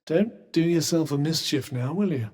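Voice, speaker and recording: A sardonic enchanted cuff for a video game: middle-aged, refined British male, fairly high voice, dry and pompous, drenched in long reverb. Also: animated, normal speed, a little mellow, slightly rough. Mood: mocking, goading. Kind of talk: game companion combat barks.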